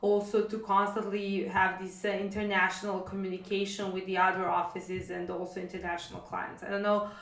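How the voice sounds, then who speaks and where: Serbian-accented woman, even and roomy. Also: medium pitch, brisk, creaky, few pauses. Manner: hesitant, many fillers. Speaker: lawyer and podcast co-host. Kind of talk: casual conversation. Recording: roomy echo.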